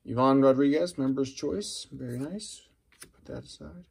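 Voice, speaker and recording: A middle-aged man with a mellow, gravelly voice gives casual, unscripted commentary while handling trading cards. His tone is husky and creaky, and he muses aloud as if talking to himself. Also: mid-range voice, moderate pace. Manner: chatty, musing aloud to himself. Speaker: host of a trading card opening video. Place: indoors at a wooden table.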